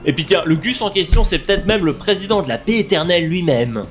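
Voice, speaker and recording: A man's voice: high-pitched, lively intonation, a little fast. A man acting in an amateur audio drama, playing a grumbling, sarcastic lead. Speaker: lead character, an archivist named Johnny.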